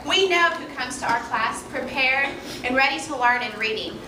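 A woman's voice: high-pitched, normal speed. A young woman teacher speaking into a handheld mic at a school assembly, explaining a reading program and asking the children questions in an upbeat way. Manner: upbeat, addresses kids with questions. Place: large school gym in front of students. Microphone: handheld mic, recorded by far camera. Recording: echoey gym, from far off, noisy.

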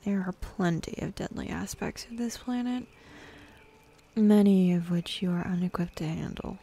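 A woman's voice: on the low side, moderate pace, warm tone, slightly rough. A woman voicing a bossy, teasing demon in an intimate ASMR roleplay, sardonic and drawling, easily bored, slipping into whispers. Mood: menacing, teasing. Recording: faint ambient forest background noise.

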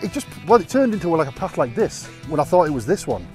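A man's voice: fairly high pitch, big pitch swings, fast talker, a little mellow. Joking man outdoors. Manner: joking, swears freely. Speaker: vlogger. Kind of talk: casual banter on a hike. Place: outdoors in a riverside wood. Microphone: handheld action camera. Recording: background guitar music.

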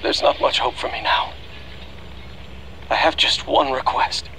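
A middle-aged actor playing a remorseful scientist father in an anime game, pleading gravely and deliberately. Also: medium pitch, sharp tone, a little hiss or hum. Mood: tender, resigned. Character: desperate, remorseful scientist father recording a last message.

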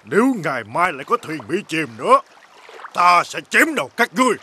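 A high-voiced enemy commander from an animated folk tale. His delivery swings widely and dramatically. He is imperious, irritable and full of bluster toward his soldiers. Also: a little fast, slight background noise, exaggerated voice. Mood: threatening, stern. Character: arrogant, irritable enemy war commander threatening his men.

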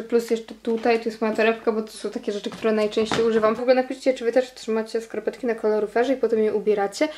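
Woman, 20s, from Poland. Gushing casual vlogger on a close handheld camera, slightly high voice, roomy audio. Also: darkish tone, slight background noise. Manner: chatty, gushing, quick asides to viewers. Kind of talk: casual vlog chat to camera. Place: small bedroom at home. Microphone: handheld camera held close.

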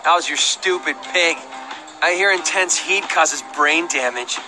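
An actor voicing a smug, sneering young bully in a video game, with a mocking, drawn-out delivery. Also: male voice, fairly high pitch, music in the background, natural voice, poor audio.

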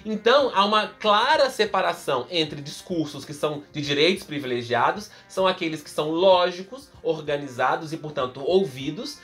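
A man's voice: fairly high pitch, normal speed, hardly any pauses, soft background music. A man in his thirties from Brazil, explaining a philosophy text to camera step by step, recorded close up in a room with bookshelves. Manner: expressive, explains step by step with examples. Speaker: philosophy teacher. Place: indoor room in front of bookshelves. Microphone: camera close up, facing the lens.

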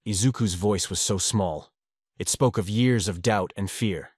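Low-voiced man narrating fanfiction. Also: unhurried, darkish tone, slightly rough, no room sound, clean studio sound. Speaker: audiobook narrator.

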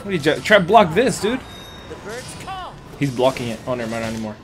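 A man's voice: medium pitch, very animated, fairly quick, music in the background. A man doing casual, joking gameplay commentary with plenty of slang and swearing, as a streamer. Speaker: gaming streamer.

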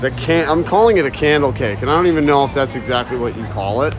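A man talking loudly and casually to viewers as he live-streams while walking around. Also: medium pitch, quick pace, music in the background. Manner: loud, chatty, talks to viewers and chat. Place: outdoors at a busy shopping area, later indoors. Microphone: phone held while walking. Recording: phone audio with crowd noise. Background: crowd chatter.

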